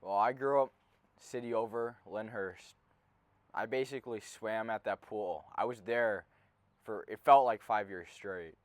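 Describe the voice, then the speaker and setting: A man's voice: fairly low pitch, measured pace, dry recording. A man of about eighteen co-hosting a podcast in casual chat, joking and slangy, often cutting in on the others. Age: around 18. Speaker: podcast co-host.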